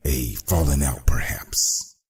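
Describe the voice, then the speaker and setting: An extremely deep male villain in a motion comic. He is a polite, menacing crime boss who speaks slowly and formally, with theatrical swings of tone. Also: animated, gravelly, soft background music, exaggerated voice. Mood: smug probing.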